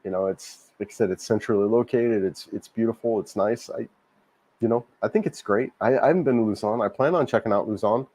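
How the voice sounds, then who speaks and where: A man hosting a casual livestream, a little hoarse from a cold, rambling and repeating himself as he answers viewer comments. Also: fairly low pitch, a little fast, warm tone. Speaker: livestream host. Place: home in Cebu, Philippines.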